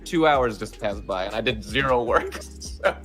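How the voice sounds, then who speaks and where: A man talking as a voice actor being interviewed, casual and animated, with a self-deprecating manner. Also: mid-range voice, moderate pace, over music.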